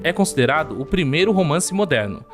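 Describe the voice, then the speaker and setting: A man's voice: on the high side, sing-song, quick pace, over music. A man hosting a casual interview chat, agreeing often and asking follow-up questions. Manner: conversational, affirming, asks follow-up questions. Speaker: host.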